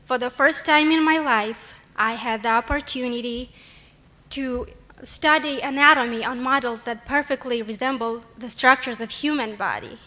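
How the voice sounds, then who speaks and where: A young woman delivers a prepared speech from a podium. Her voice sits a little high and rings bright and crisp. She reads carefully in a formal, earnest way into the microphone. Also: moderate pace. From Moldova. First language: Romanian. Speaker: award nominee. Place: luncheon hall.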